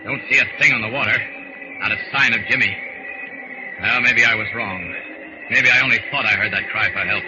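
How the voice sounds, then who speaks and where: A man's voice: fairly low pitch, natural voice. A man playing an earnest flying superhero in 1940s radio style, thinking aloud in short exclamatory bursts. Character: flying superhero, earnest, strong, searching the sea. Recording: noisy, dull old radio recording.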